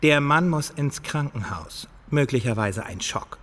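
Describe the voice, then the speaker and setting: An actor playing a businesslike paramedic, practical and directive, in a German radio crime drama. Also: male voice, mid-range voice, expressive, measured pace, fairly bright tone, big-room sound. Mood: calm, businesslike. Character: businesslike male paramedic tending a patient.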